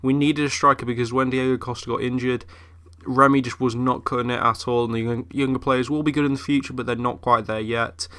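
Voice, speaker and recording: A man's voice: mid-range voice, level tone, brisk, a bit bright, clean tone. A young man who is ill, chatting casually through game commentary with lots of fillers. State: ill, voice a bit off. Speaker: gaming YouTuber.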